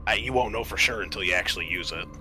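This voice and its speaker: A man running a tabletop roleplaying game as game master, narrating in halting, pieced-together phrases. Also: lowish voice, pushed and bright, faint music behind.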